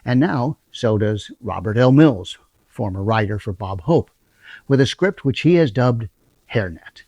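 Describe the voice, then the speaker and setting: A male host introducing the next segment in a relaxed, conversational way. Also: medium pitch, unhurried, dry recording. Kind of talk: casual presentation.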